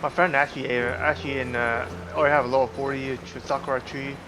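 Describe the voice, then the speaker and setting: A man livestreaming a game with casual, halting commentary, thinking aloud as he plays. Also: mid-range voice, level tone, background noise. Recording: loud game music behind the voice. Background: loud game music.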